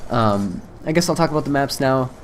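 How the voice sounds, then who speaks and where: A young man, hoarse from a cold, casually rambling through his first impressions with lots of ums and uhs. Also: medium pitch, lively intonation, brisk, a little hiss or hum. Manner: rambling, many fillers. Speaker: gaming video creator.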